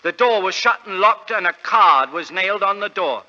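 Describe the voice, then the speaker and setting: Plodding English shopkeeper, very bright voice. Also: male voice, sits high, animated, full character voice. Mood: puzzled, aggrieved. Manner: halting, deferential, wordy storytelling. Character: earnest, plodding, thrifty London shopkeeper. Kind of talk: 1940s radio drama acting. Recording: dull, reverberant vintage radio recording.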